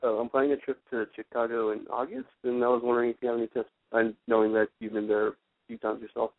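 A young man of twenty calling in to a radio show. He talks quickly and a little shyly, mumbling his words. His voice is tinny and dry, as over a phone line. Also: mid-range voice, level tone, vocal fry, dry recording. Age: 20s. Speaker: caller.

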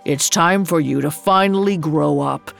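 A menacing, grief-stricken lion from a storybook , acted out by a woman. The delivery is slow and commanding, with a gravelly edge and faint music behind it. Every order carries a threat. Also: low voice, heavily acted. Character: fierce grieving male lion, menacing royal advisor.

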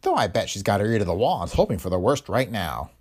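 A man's voice: lowish voice, big pitch swings, quick pace, darkish tone, husky. A man voicing a crafty, cackling old male detective who talks in a folksy, ungrammatical way. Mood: gleeful.

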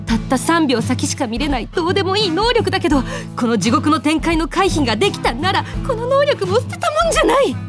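An earnest, anxious young woman in a radio drama. Her voice sits high and moves very freely as she narrates and argues, with music clearly underneath. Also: sing-song, fast talker, few pauses. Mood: dramatic narrating. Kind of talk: radio drama acting with first-person narration.

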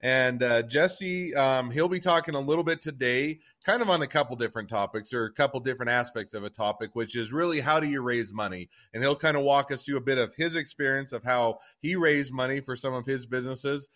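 A man's voice: medium pitch, a little fast, hardly any pauses, a bit bright. A man hosting a podcast interview, introducing his guest and asking loose, self-correcting questions. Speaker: podcast host.

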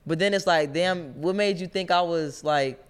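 A woman with a deep voice, co-hosting a podcast in relaxed, slangy casual chat. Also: moderate pace, clear, resonant tone. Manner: laid-back slangy talk, thoughtful asides. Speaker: podcast co-host.

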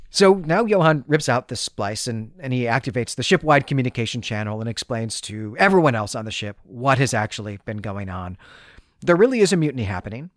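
A man's voice: mid-range voice, dry recording. Man recapping fiction, close dry sound. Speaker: podcast host. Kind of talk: conversational literary story recap.

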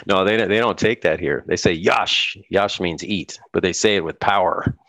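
A man's voice: sits low, moderate pace, bright, forceful tone, no room sound. An American man, middle-aged, chatting casually as an interview guest, rambling with frequent restarts and laughs. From United States. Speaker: guest musician.

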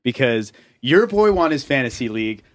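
A young man co-hosts a laid-back podcast, joking loosely and laughing often. His voice sits at an ordinary pitch and the recording is dry and close, with hardly any room sound. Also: big pitch swings, moderate pace, no room sound. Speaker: podcast co-host. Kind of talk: casual chat.

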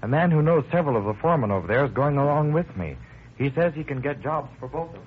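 Man playing an affable young farm lad, lively intonation, eager, old radio drama, tinny narrow sound. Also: fairly high pitch, fast, lightly acted. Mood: reading a letter. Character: good-natured young farm son, eager to leave. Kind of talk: 1930s radio drama acting. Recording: thin, narrow, faintly noisy old radio.

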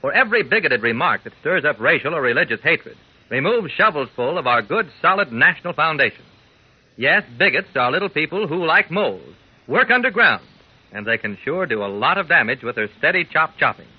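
A man's voice: a little high, sing-song, a little fast, breathy edge. A man giving an earnest, folksy, emphatic public-service talk to young listeners in 1940s radio style. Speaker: radio announcer. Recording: muffled old radio recording.

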